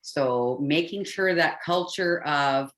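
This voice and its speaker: A woman's voice: low-pitched, slow pace. A woman from Canada speaking on a video call, chatty and self-deprecating as she answers a question in a club meeting. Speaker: club member.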